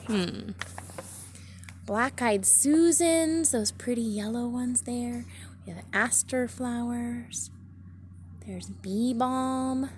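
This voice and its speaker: A woman's voice: a little high, very slow, a little mellow, a little hiss or hum. A woman reading a picture book aloud like a teacher, adding simple, chatty explanations for children outdoors in a garden.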